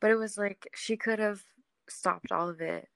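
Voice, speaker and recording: A woman's voice: mid-range voice, fairly even intonation, moderate pace, vocal fry, fairly bright tone, dry recording, studio quality. A young woman hosting a podcast, steering a casual chat about television in an informal, slangy, agreeable way. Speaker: podcast host guiding the discussion. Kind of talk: casual TV discussion.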